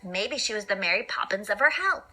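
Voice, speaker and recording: A woman's voice: medium pitch, big pitch swings, brisk, pushed and bright. A woman reading a children's picture book aloud theatrically, with playful asides and repetition. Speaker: storyteller, podcast host. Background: music.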